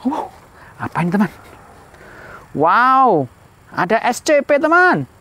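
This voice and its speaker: A man's voice: extremely high pitch, big pitch swings, slow pace, bright, forceful tone. A man hosting a playful toy-hunt vlog, exclaiming and putting frequent questions to his viewers. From Indonesia. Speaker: host of a children's toy-hunt video channel.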